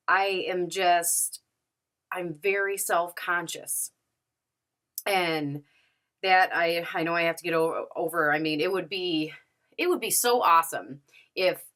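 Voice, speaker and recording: Bright-voiced woman chatting candidly. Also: fairly low pitch. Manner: candid, chatty, many fillers and restarts. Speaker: vlogger. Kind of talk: casual story-time monologue. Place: at home, sitting.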